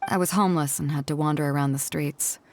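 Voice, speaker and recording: An actress playing a confident, playful yet reflective woman sea captain in video game dialogue, with a self-assured, measured way of speaking. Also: low voice, steady tone, darkish tone, a little hiss or hum. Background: music.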